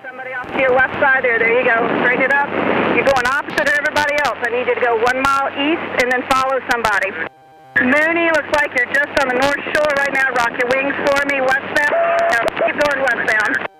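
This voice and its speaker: A woman working as an air traffic controller, giving brisk, directive, repeated traffic instructions over aviation radio. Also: sits high, steady tone. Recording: very noisy aircraft radio transmission.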